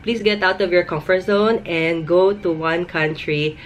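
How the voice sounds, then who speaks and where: A woman with a Filipino accent talks casually, as a vlogger giving advice. Her voice sits a little low, and she leans on repeated words for emphasis. There is some noise behind her. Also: fairly even intonation, measured pace. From Philippines. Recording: noticeable background noise.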